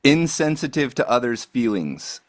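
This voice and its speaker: A young man with a low voice, loud and assertive, in a casual podcast chat. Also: big pitch swings, normal speed. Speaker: podcast co-host.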